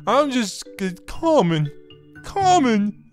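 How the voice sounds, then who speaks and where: A woman's voice: on the high side, sing-song, slow pace, darkish tone. Woman voicing a whiny common pet dog, music behind. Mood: hurt, whiny. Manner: whiny, self-pitying.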